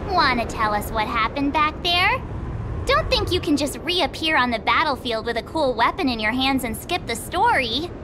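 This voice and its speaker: Very high-pitched, perky girl adventurer. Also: moderate pace, noisy background. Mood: pressing, upbeat. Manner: blunt, expressive. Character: perky, outspoken, caring young adventurer girl. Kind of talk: animated game cutscene acting. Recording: music behind the voice.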